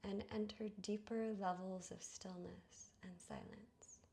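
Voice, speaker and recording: A woman narrates a guided meditation very slowly and evenly, in a soothing, hushed voice. Her phrases trail into breathiness, with long pauses between them. Faint ambient noise sits behind her. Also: mid-range voice, steady tone, gravelly. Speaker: yoga nidra guide.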